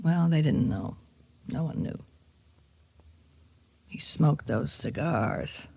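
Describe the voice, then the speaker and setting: A woman's voice: low voice, animated, takes it slowly, vocal fry, darkish tone, breathy edge, no room sound, exaggerated voice. A woman acting an anxious, guilt-ridden middle-aged widowed mother in a radio drama, pleading hesitantly and emotionally. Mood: remorseful, defensive.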